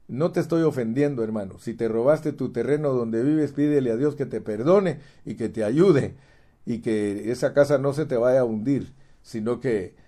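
Middle-aged guy preaching a sermon, mellow low tone, keeps saying brother, hammers points home. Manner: emphatic preaching, repeats words, addresses listener as brother. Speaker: pastor. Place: United States.

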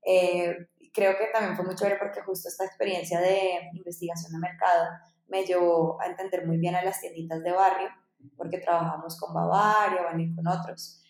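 Woman guest, lots of ehs and ems, kinda low voice, roomy echo. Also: little pitch movement, moderate pace. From Colombia. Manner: chatty, with frequent "eh" and "em" fillers. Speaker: podcast guest, startup founder. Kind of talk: casual interview storytelling. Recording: echoey room.

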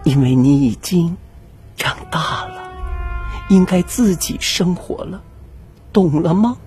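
A sorrowful mother wolf, acted by a woman in an audio drama. She soothes and coaxes tenderly, taking her time over each phrase, with wide swings in pitch. Faint music plays behind her. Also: lively intonation. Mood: sad, soothing. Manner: slow, gentle, coaxing speech with sighs.

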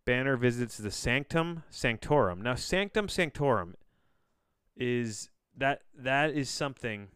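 A man's voice: mid-range voice, lively intonation, unhurried, fairly bright tone, clear, resonant tone, no echo, studio quality. A man hosting a solo podcast, casually reading aloud with bemused, rambling asides and frequent self-interruptions. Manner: casual swearing. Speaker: podcast host.

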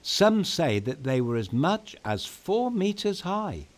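Male kids' storyteller: playful, theatrical, highly animated, unhurried, with music underneath. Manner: playful, theatrical, addressing young listeners directly. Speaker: storyteller and host of a children's bedtime podcast. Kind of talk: scripted children's story narration.

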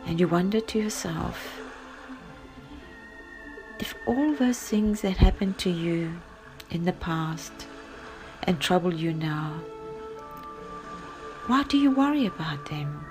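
A woman narrating a guided sleep story in a gentle, soft and breathy voice, often close to a whisper, with long pauses. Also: on the low side, expressive. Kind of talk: soothing bedtime story, guided relaxation. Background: music.

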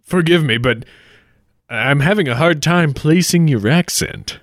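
A man's voice: on the high side, a little slow, no room sound, clean studio sound, natural voice. Man playing brash, cocky adventurer hero. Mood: polite, wry. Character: brash heroic adventurer, polite but cocky. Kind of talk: 1940s-style radio play acting.

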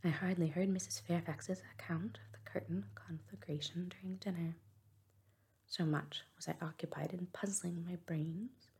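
Softly whispering woman storyteller. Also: fairly low pitch, fairly even intonation, moderate pace, husky. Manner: soft unvoiced whisper throughout. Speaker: narrator. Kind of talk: whispered audiobook reading.

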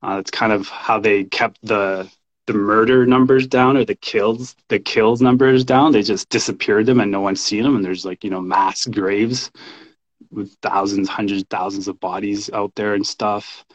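A man in his thirties with a fairly deep voice talks casually about his own life. His delivery is informal and halting, as in a relaxed interview. The recording is a little dull and lo-fi. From Canada. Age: 30s. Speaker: podcast guest, men's coach and shamanic practitioner. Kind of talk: casual personal storytelling in an interview.

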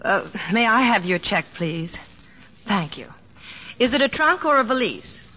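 A woman's voice: a little high, very animated, a little slow, sharp tone, heavily acted. An actress playing a poised, helpful young American woman of the old West, in 1950s radio drama style. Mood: polite, flustered. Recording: dull vintage radio broadcast, music behind.

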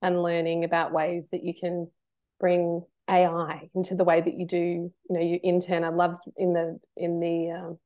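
A woman guest on a podcast, a trained marketer talking in an animated, emphatic way and laughing between points. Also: on the low side, moderate pace, highs cut off. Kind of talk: casual interview chat.

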